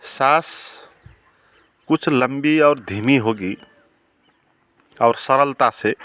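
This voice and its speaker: A man guiding a meditation in a calm, unhurried way, with long pauses between short phrases. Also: medium pitch, dry recording. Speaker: meditation guide. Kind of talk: guided meditation.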